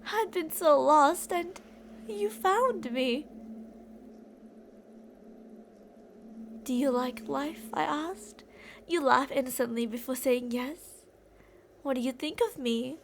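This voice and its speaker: Woman acting a high-voiced obsessive young yandere. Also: a little slow, a little hiss or hum. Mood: tender, infatuated. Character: obsessive, delusional yandere college-age woman addressing her captive. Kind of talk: ASMR roleplay, dramatic monologue.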